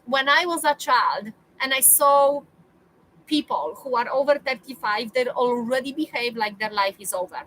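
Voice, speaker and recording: A woman's voice: sits high, expressive, normal speed, bright, forceful tone, a little hiss or hum, low-quality recording. A woman, giving a motivational talk to her viewers and repeating phrases for emphasis. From Poland. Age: 40s. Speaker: spiritual coach.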